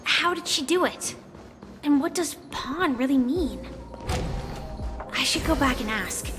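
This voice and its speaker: An earnest, puzzled young investigator in a game. Her voice is high and unhurried, with a hesitant, questioning delivery. Clear music sits behind her. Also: expressive. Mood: puzzled, doubtful. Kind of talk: game cutscene dialogue.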